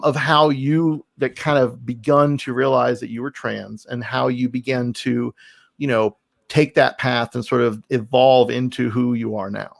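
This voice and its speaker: A man hosting a casual podcast interview, talking in a halting way with repeats and rephrasing. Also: medium pitch, gravelly, no echo. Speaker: podcast host.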